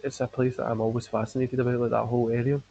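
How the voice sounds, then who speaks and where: A man hosts a relaxed podcast conversation, his voice warm and mellow. He rambles loosely from thought to thought and leans on filler words. A faint hiss sits under the recording. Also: medium pitch, racing, vocal fry, lo-fi sound. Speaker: podcast host. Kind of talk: casual chat.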